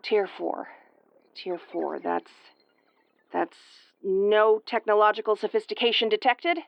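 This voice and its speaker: A woman acts out a tsundere starship commander for an audio roleplay. She is tomboyish, brusque and easily flustered, speaking straight to the listener at a middling female pitch. The recording is dry and slightly dull. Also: a little slow, creaky, no echo. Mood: tense.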